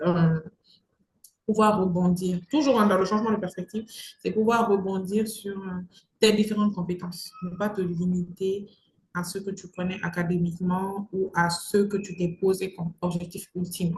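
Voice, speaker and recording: Casting: young woman, emphatic conversational interviewee, roomy echo and faint noise behind. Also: medium pitch. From Mali. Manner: emphatic, repeats phrases for stress. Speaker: entrepreneur interview guest. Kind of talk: conversational advice-giving interview talk.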